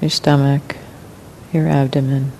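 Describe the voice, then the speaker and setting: A woman meditation teacher leading a guided meditation in calm, gentle, unhurried phrases with long pauses. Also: low-quality recording. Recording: clear rumbling background noise.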